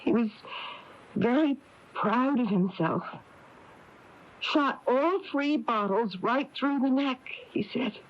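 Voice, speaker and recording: A woman's voice: fairly high pitch, slow, pauses often. An actress playing an uneasy small-town woman recounting a strange visit in a 1980s radio drama. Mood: anxious, wondering. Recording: dull lo-fi taped radio broadcast.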